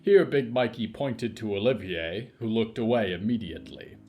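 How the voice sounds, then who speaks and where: A man's voice: fairly low pitch, animated, moderate pace, mellow, faint music behind. A man narrating a scripted fiction story as its storyteller.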